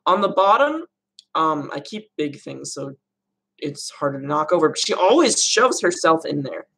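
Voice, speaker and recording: A young woman with a notably deep voice and a gravelly edge of vocal fry. She chats loosely and rambles while answering viewer questions on a live stream from her room at home. She laughs often. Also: very animated, normal speed, a bit bright. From Arizona, USA. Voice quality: low voice. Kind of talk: casual live Q&A chat.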